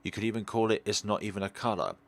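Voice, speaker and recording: A man's voice: lowish voice, fast talker, vocal fry, a bit bright, dry recording. A man hosting a let's play, reading aloud and musing in a rambling, digressive way. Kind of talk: reading a visual novel aloud with rambling off-script commentary.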